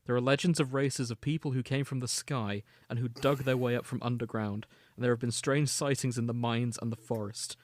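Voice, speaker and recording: A man's voice: medium pitch, fairly even intonation, fairly quick, hardly any pauses, no echo. Man reading a story, dry close recording. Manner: storytelling, alternating read-out prose with casual asides. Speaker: podcast host. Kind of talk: reading and summarizing fan fiction.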